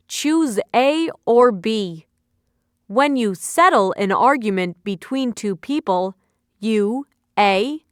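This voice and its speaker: A woman's voice: medium pitch, clear, resonant tone, dry recording, clean studio sound. A woman reading a scripted vocabulary quiz for learners in a carefully phrased, instructional way. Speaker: vocabulary teacher.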